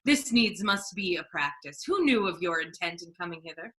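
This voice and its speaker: A woman playing an imperious, sardonic duke in a Shakespeare reading, speaking in a deliberate, declamatory way. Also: fairly low pitch, expressive, bright, forceful tone, a little hiss or hum. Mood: stern, indignant. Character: imperious duke, a ruling man, sardonic judge.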